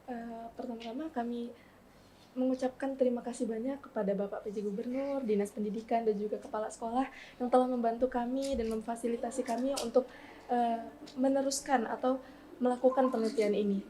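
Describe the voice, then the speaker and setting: A teenage girl from South Sumatra, a student researcher speaking carefully and formally, with hesitant pauses. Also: on the high side, fairly even intonation, mellow, slight background noise. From Palembang, South Sumatra. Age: teens. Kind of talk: formal statement in an interview.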